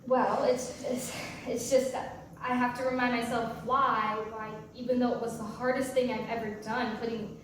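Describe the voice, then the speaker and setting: A guarded, sardonic young divorced mother, played by a woman in a staged play reading. Her voice sits fairly high and comes out wry and halting. She is heard from far off in a very echoey room. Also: level tone, close to an everyday voice. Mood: hesitant, regretful. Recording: distant, very echoey room with faint noise.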